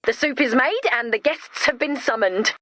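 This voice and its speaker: A young video game heroine with a high voice. She is chatty and earnest, a romantic who gently bosses people around with a wry edge. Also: normal speed, dry recording. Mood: gently scolding. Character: earnest, romantic, gently bossy young woman organizing monster wedding.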